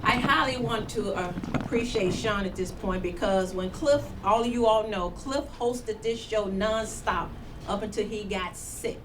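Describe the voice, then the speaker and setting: A woman's voice: medium pitch, unhurried, noisy background. A woman, the show's executive producer, talking casually and laughing on a radio panel.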